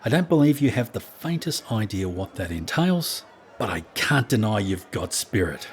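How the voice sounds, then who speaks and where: A man's voice: fairly low pitch, big pitch swings, normal speed, slight background noise. A man acting a wary, menacing thief and gang leader with formal, guarded speech in a fantasy audio drama. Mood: wary, stern.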